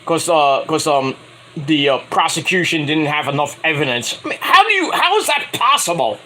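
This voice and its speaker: A man talks through a wrestling show recap on his own, rambling, correcting himself and tossing in sarcastic asides. His voice is high and very animated, with a bright edge. He is coming down with a cold, and a faint hiss of noise sits behind him. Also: moderate pace. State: getting a cold, voice going. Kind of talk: casual solo review recap.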